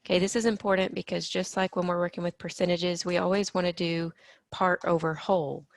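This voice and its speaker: Gravelly, patient woman teaching math. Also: fairly low pitch, little pitch movement, a little fast, dry recording, studio quality. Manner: patient, step-by-step explaining with frequent "okay" and "so". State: slightly hoarse from allergies. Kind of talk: recorded math lesson.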